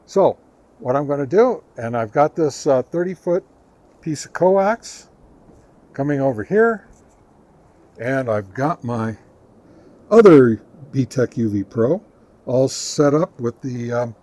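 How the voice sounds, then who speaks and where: Male vlog host, mid pitch, casual hobbyist reviewer, halting conversational delivery while testing gear. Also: lively intonation, mellow. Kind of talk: casual on-location product test talk to camera. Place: outdoors, on a back deck and in a park.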